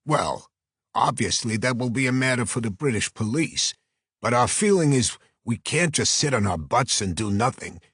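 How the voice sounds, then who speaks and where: Man voicing a brash Brooklyn talent agent, pitched up. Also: normal speed, slightly rough, no echo, clean studio sound. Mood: earnest, persuasive. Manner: effusive, flattering, salesman-like. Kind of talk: audiobook character voice.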